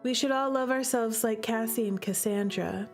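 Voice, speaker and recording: A woman's voice: on the high side, normal speed, faint music behind. A woman commenting on a livestream to her viewers, gushing and emphatic, repeating phrases for effect. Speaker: streamer playing a visual novel.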